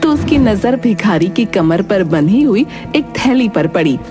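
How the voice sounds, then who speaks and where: A woman narrates a children's moral tale. Her mid-pitched voice is expressive and moves up and down a lot, with music playing clearly underneath. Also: unhurried. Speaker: story narrator.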